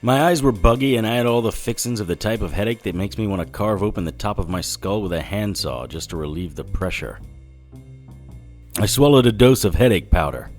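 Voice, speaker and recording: An actor playing a sardonic 1940s detective who narrates a noir audio drama and trades wisecracks. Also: male voice, fairly low pitch, expressive, a little fast, soft background music. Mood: grim, weary. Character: wry, hard-boiled 1940s male occult detective narrator.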